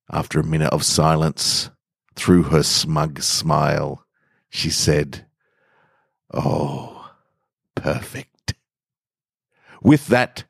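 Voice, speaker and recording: A man narrating short fiction in a dramatic, measured and deliberate way with weighty pauses. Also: sits low, no echo, clean studio sound. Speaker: storyteller reading short fiction on a podcast.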